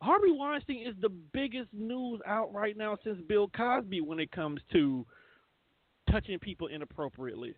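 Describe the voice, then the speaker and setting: A man's voice: sits high, lively intonation, normal speed. A man hosting a radio show with casual, rambling, colloquial celebrity-news banter and some swearing. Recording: thin phone-like sound, roomy echo.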